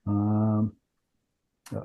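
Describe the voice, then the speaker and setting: A man giving a casual software demonstration in a halting way, thinking aloud as he goes. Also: fairly low pitch, completely flat delivery, gravelly, phone-quality sound. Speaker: company founder demonstrating software. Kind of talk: casual product demo.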